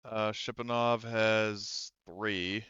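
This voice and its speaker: A man with a lowish, smooth voice narrates a tabletop game as game master. He speaks casually and hesitantly, working things out aloud, in a dry but slightly dull recording. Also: steady tone, extremely slow, bright, forceful tone, dry recording.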